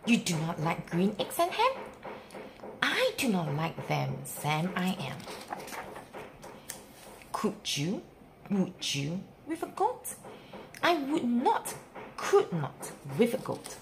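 A woman reading a rhyming picture book aloud for young children in an expressive, theatrical, sing-song way with emphatic pauses. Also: lowish voice, gravelly, background noise. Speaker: children's story reader.

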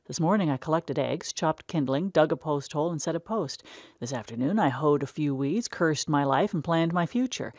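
A woman's voice: sits low, animated, normal speed, a little mellow, dry recording, clean studio sound. A woman voicing an awkward, lovesick young country man who rambles when flustered.

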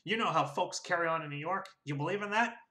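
A man reading aloud, voicing a loud, bullying, heavyset small-town official who barks his questions. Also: fast. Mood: badgering. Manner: booming, barking questions. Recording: roomy echo.

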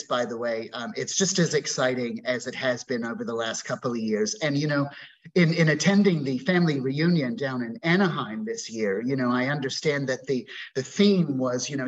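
A man's voice: medium pitch, highs cut off. A man in his forties from Bermuda, a real estate agent talking as a guest on a video call interview, upbeat and effusive with many fillers. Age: 40s. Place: indoor room at home. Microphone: video call webcam.

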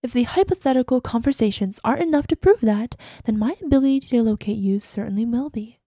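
Woman acting a high, soft obsessive girlfriend. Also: lively intonation, fast talker, dry recording, clean studio sound. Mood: unhinged, brooding. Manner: breathy asides, drops into whispers, syrupy pet names. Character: obsessive possessive girlfriend, sweetly menacing, panicking over missing lover. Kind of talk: ASMR roleplay voicemail monologue.

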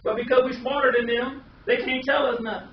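A man's voice: extremely high pitch, fairly even intonation, brisk. Man, American accent, middle-aged, emphatic sermon, rhetorical questions, echoey and slightly dull distant recording. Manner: emphatic, repeating phrases and asking rhetorical questions. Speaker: preacher. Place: small indoor room. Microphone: distant camera microphone. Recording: echoey, muffled, distant camera audio with hiss.

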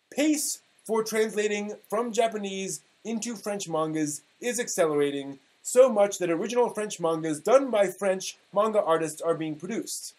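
A man in his forties giving a mock-dramatic, emphatic reading of an internet post, halting here and there over the text. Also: high-pitched, darkish tone, rough recording. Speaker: performer reading old forum posts. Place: small room at home, indoors.

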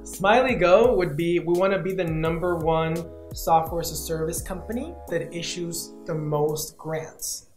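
A man's voice: a little high, a little slow, soft background music. A young man in his twenties from Peru, a startup founder answering interview questions earnestly and listing his points one by one, on a lapel mic in an office. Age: 20s. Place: glass-walled open office, indoors. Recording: roomy office echo.

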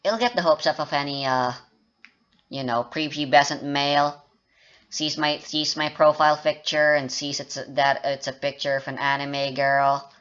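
A man's voice: on the high side, fairly even intonation, normal speed, a bit bright, clean tone, dull audio. A man rambling casually and joking at his own expense during a game development update. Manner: rambling, self-mocking, joking about his voice. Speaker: indie game developer and YouTuber.

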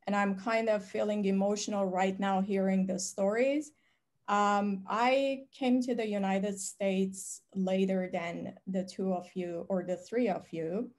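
Middle-aged woman from Iran, earnest measured speaker, video call panelist. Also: mid-range voice, flat delivery, darkish tone. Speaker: panelist, professor. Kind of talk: personal introduction on a panel. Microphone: video call webcam.

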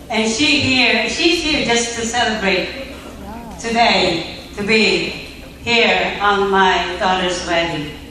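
A middle-aged woman, mother of the bride, slowly reading a welcome speech at a wedding reception, with small restarts. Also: medium pitch, sharp tone, very echoey, far from the mic, a little hiss or hum, rough recording. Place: large reception hall. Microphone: filmed from across the room on a phone.

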